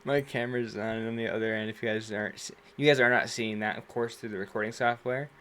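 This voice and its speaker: Laid-back young man with a low voice. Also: fairly even intonation, fast talker, slight background noise. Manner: easygoing, laughs loudly and often. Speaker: podcast co-host. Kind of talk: casual chat. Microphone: home streaming microphone.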